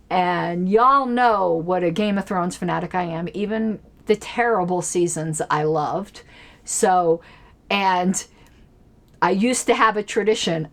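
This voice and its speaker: A woman streaming a casual political commentary show from her basement, talking in a rambling, chatty way with lots of fillers and restarts. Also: medium pitch. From Colorado. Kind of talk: casual solo livestream chat. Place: home basement.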